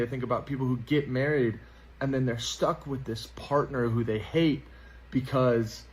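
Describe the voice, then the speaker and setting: A man in his thirties with a mid-range voice speaks as a livestream guest. He talks in a thoughtful, measured way, reasoning aloud. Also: medium pitch, poor audio. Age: 30s. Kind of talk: reflective monologue.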